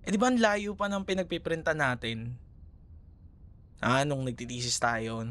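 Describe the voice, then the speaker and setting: A man's voice: on the high side, fairly even intonation, moderate pace, fairly bright tone, lightly acted. A man with a Filipino accent playing a young, caring, teasing ex-boyfriend in a casual, hesitant ASMR roleplay conversation. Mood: sheepish, explaining. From Philippines. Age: young adult.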